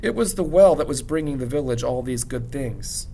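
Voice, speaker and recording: Male teacher, children's novel read-aloud, narration, mid-pitched voice, rumbly background noise. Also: animated, moderate pace, creaky, mellow. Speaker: teacher reading a book aloud to students.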